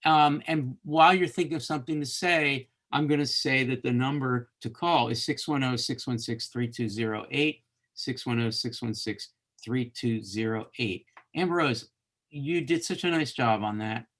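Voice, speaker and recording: A man hosts a live online literature discussion in a conversational way. His voice sits at an ordinary middle pitch, and he rewords his thoughts as he speaks. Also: moderate pace, fairly bright tone. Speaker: host of a live literature course webcast. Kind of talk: unscripted discussion hosting.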